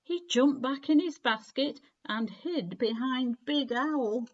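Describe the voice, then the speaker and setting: A woman reading a children's storybook aloud in an expressive, deliberate way, with clear phrasing and dramatic emphasis. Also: sits high, muffled recording. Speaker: story reader.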